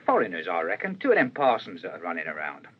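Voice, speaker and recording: A man's voice: low-pitched, fast, full character voice. An actor playing a chatty, deferential working-class waiter in a radio drama, telling his tale colloquially. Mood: animated, baffled. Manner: colloquial, animated storytelling. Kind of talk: radio crime drama acting. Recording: dull, rough radio drama recording.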